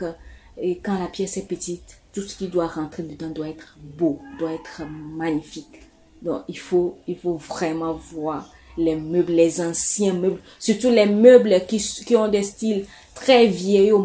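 A woman's voice: fairly low pitch, mellow. A woman giving casual, repetitive home decorating advice to her viewers, with many fillers and restarts. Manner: chatty, repeats words, many fillers.

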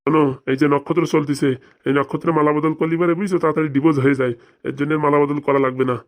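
Man playing a sing-song, sly village priest, flat-toned. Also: a little high, rapid-fire, lightly acted. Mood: wheedling, brisk. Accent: Bengali, rural dialect. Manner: sing-song mock-solemn chanting. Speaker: wedding priest. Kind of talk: comic cartoon voice acting with mock mantra chanting.

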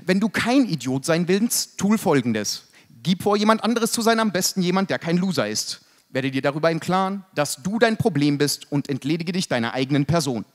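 A young man with a high voice reads a satirical slam text on stage. He delivers it like a deadpan instruction manual, speaking into a stand microphone. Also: brisk. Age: 20s. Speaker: slam poet. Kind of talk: satirical poetry slam reading. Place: live stage in an indoor event hall. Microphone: mic on stand.

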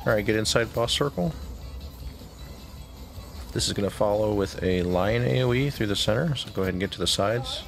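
A man leading a game raid on stream, calmly calling out mechanics and giving instructions. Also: fairly low pitch, fairly quick, gravelly, pauses often, over music. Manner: calm, instructive callouts with short laughs.

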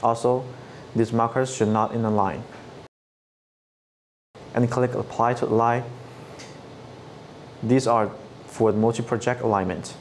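Flat-toned man explaining software steps. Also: medium pitch, measured pace, pauses often, slight background noise. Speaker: product trainer. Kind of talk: scripted tutorial narration. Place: studio set with dark backdrop. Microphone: lapel mic.

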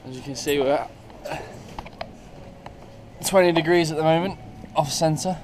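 A man's voice: a little high, measured pace. A man filming a casual vlog on a heeling sailboat at sea, joking as he moves around the deck and cabin on an action camera. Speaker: crew member filming on a sailboat. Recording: loud wind noise on the camera mic.

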